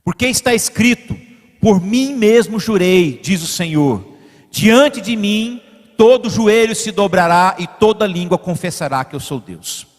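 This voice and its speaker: A man from Brazil preaching a sermon as pastor, building to emphatic peaks and then falling to quiet asides. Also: sits high, lively intonation, a little slow, big-room sound. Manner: directs the slide operator mid-sermon. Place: church sanctuary.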